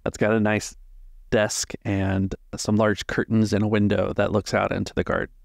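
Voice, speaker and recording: A man's voice: fairly low pitch, level tone, moderate pace, vocal fry, no echo, studio quality. A man running a tabletop roleplaying game as game master, narrating scenes and explaining the rules.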